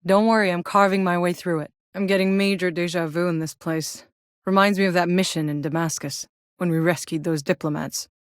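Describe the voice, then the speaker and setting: Casting: actress as hardened female sci-fi game soldier, lowish register, wry and blunt, very dry studio sound. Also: steady tone, brisk, no room sound, close to an everyday voice. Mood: blunt, sardonic. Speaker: video game protagonist. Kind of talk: video game voice lines.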